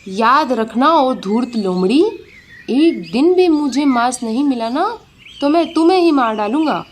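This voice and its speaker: A woman voicing a hot-tempered, greedy male lion in a children's animated story, threatening and demanding. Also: high voice, measured pace, slight background noise. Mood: angry, threatening.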